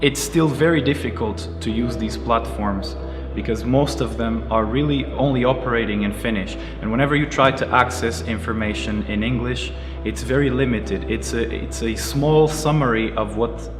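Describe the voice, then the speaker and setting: A man in his thirties from Portugal, a native Portuguese speaker, answering interview questions in a measured, earnest way with frequent pauses. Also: mid-range voice. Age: 30s. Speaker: karate teacher and entrepreneur. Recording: roomy echoey space with music behind. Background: music playing under the voice.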